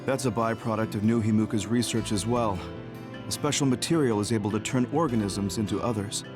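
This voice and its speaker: A man playing a composed, guarded senior officer in a video game, talking in a measured, explanatory way. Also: fairly low pitch, over music, close to an everyday voice. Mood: grave, composed. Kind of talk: English video game voice acting.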